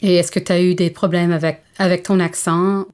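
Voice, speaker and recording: A woman interviewer gently asking questions for a radio feature. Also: fairly low pitch, level tone, normal speed. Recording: echoey with loud music.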